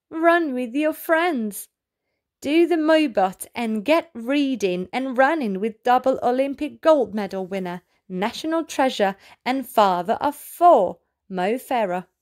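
A woman reading a picture book aloud to young children in an expressive, sing-song, exaggerated storyteller's delivery. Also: high voice.

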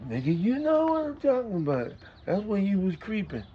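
High-pitched guy joking around w friends, loose and teasing, muffled lo-fi sound. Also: wildly swooping pitch, fairly quick, a crowd in the background. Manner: loose, teasing, laughs between lines. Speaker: trucking vlogger. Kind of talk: casual joking banter. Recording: dull lo-fi sound.

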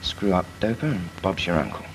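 An actor playing a cocky young trainee pilot who slowly and cheekily rattles off his cockpit drill in a 1940s British film. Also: deep voice, animated. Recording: noisy 1940s film soundtrack.

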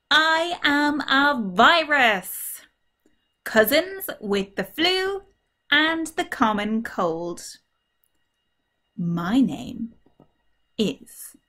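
A woman's voice: high voice, lively intonation, very slow, pauses often, sharp tone, voice pushed up, full character voice. A woman voicing a cheerful, friendly cartoon virus that explains itself to children in a theatrical, emphatic way. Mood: cheerful greeting.

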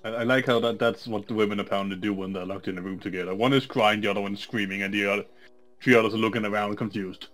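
A man's voice: on the low side, fairly even intonation, fast, a bit bright, faint music behind. A man co-hosting a casual game playthrough, joking crudely and laughing often. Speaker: let's play co-host. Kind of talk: casual commentary over a game.

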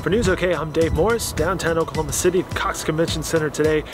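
A man reporting the news in a brisk voice-over. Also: a little high, steady tone, over music.

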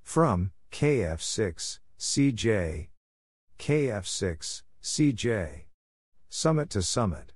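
A man narrating practice radio exchanges from a script, reading short phrases in a measured, deliberate way and repeating them. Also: lowish voice, sing-song, breathy edge. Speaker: narrator of Morse code practice drills.

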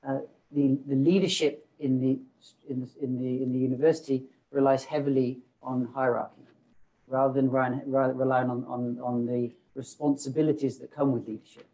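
A man's voice: mid-range voice, flat delivery, mellow, low-quality recording. A middle-aged man on a panel who speaks with many repeated words and restarts, recorded on a lapel mic in an indoor room. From not South Africa. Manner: fast, stumbling with many repetitions. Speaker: business school panelist. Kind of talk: panel discussion.

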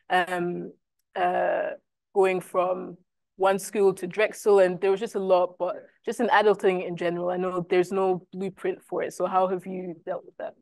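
A woman's voice: fairly low pitch, creaky, dry recording. A young woman with a Scottish accent and German as her first language, moderating a university panel in a reflective way. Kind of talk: panel discussion. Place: university classroom, indoors.

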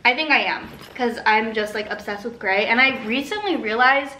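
A woman, chatting to camera in a gushing, excited, emphatic way, like a casual haul vlog filmed at home. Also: on the high side, normal speed, background noise. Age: 20s. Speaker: vlogger. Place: living room at home. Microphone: camera a short way in front of her.

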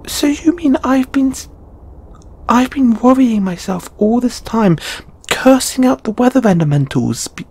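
A man playing a shy, anxious, lovelorn young lamia flatmate in an intimate audio roleplay, speaking hesitantly with stammers and sighs. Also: on the low side, slow, long pauses, slightly rough, heavily acted. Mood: startled, flustered.

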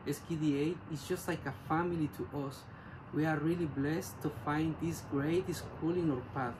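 A man's voice: a little high, fairly even intonation, normal speed. Middle-aged father reading a careful testimonial. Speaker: school parent. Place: living room, seated on a couch. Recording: noisy background hiss.

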